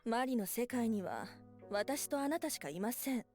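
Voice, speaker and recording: A woman's voice: on the high side, very animated, quick pace, a little mellow, faint music behind. A woman playing a haughty, irritated female fox spirit in a Japanese visual novel, speaking in a scolding, dismissive manner. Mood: haughty, teasing.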